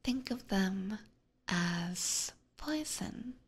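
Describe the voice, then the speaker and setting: A woman's voice: medium pitch, animated, very slow, exaggerated voice. A woman playing an obsessive, possessive vampire catgirl in an ASMR roleplay, whispering closely and breathily with doting, menacing sweetness. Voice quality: breathy, mostly unvoiced whisper. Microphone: close binaural ear microphone.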